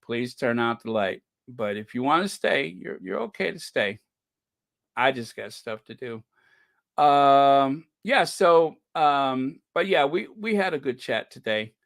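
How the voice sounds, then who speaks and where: A man hosting a casual livestream, chatting in a playful, theatrical, joking way with halting, drawn-out phrasing. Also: mid-range voice, big pitch swings, gravelly, a bit bright, no room sound, studio quality. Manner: playful, joking, mock-indignant theatrical delivery. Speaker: livestream host.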